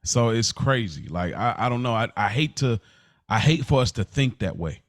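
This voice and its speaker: A man in casual podcast conversation, talking in a halting way and often repeating himself. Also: mid-range voice, lively intonation, dry recording, studio quality. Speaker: podcast speaker. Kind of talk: casual chat.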